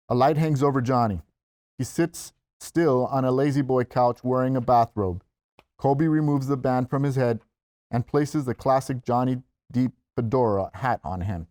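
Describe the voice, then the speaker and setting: A man narrates a script at a table read, reading out the stage directions. His pitch sits in the middle range, and the sound is dry and close. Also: measured pace, a little mellow, dry recording.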